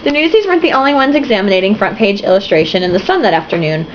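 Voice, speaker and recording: A woman's voice: medium pitch, animated, fast. A young woman reading a novel aloud, tipsy, giggly and sarcastic, breaking off for swearing asides. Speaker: reader and commentator. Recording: dull lo-fi home recording with faint hiss.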